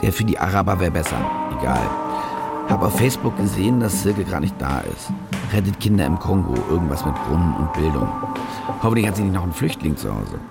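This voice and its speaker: Evasive, jittery man, swinging pitch. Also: sing-song, brisk, vocal fry, over loud music, exaggerated voice. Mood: muttering, scheming. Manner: hesitant, stammering, curses under pressure. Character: evasive, panicky fake war reporter, a man. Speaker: actor in a crime radio play. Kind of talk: naturalistic radio drama acting.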